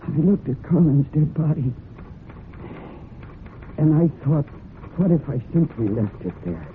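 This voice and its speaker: An actress playing a distraught, fiercely protective horsewoman, with a fraught, heightened delivery in old-time radio drama style. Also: deep voice. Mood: grim, troubled. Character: distraught, fiercely protective horsewoman narrating her own crime story. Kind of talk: dramatic old-time radio acting with first-person narration. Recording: muffled phone-like old radio recording with hiss.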